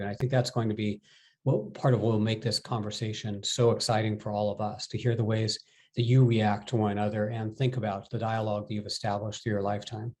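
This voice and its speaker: A man in a measured way introducing speakers and moderating a webinar over a video call. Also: lowish voice, darkish tone. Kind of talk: formal introduction.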